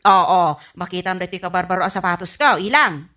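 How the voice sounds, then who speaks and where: A woman's voice: fairly low pitch, brisk, sharp tone. A woman playing a scheming, boastful, whiny wife in an Ilocano radio comedy drama, with an Ilocano accent and a theatrical delivery. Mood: boastful, sassy. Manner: theatrical, whiny, stammers evasively when cornered. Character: scheming, boastful wife who spends borrowed money on appliances.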